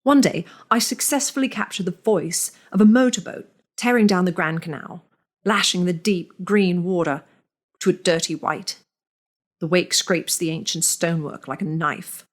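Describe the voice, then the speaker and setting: A woman reads a first-person story aloud. Her voice sits somewhat low, and her delivery is deliberate and measured, with lingering pauses between phrases. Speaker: narrator.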